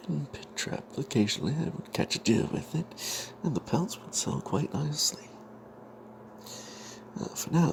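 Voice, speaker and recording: A man telling a fantasy tabletop tale as whispered ASMR, in a breathy, halting way. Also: on the low side, noisy background.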